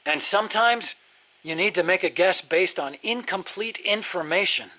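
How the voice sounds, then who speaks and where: American game engineer, high-pitched, bright, nerdy, earnest, precise, explanatory. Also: male voice, moderate pace. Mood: explaining. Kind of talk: video game dialogue acting.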